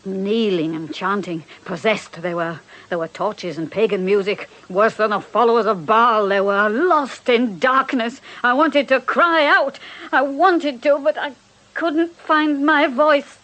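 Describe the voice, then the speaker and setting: A woman's voice: fairly high pitch, unhurried, fairly bright tone. A woman playing an elderly housekeeper and former nanny in a radio drama, devout, bitter and grieving, with fervent righteousness and a servant's deference. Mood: distraught. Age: older.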